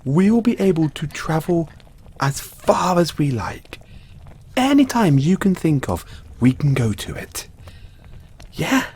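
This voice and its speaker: A man playing a giddy, affectionate mad scientist boyfriend in an audio roleplay, excitable and theatrical, coaxing the listener along. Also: fairly high pitch, slow pace, pauses often, breathy edge, full character voice. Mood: excited, coaxing.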